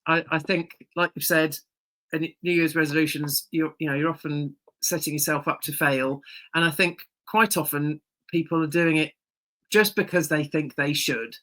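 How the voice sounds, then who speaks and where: A woman's voice: sits low, steady tone, moderate pace, fairly bright tone. A woman co-hosting a casual chat podcast as a coach, talking in a friendly way with uhs and restarts.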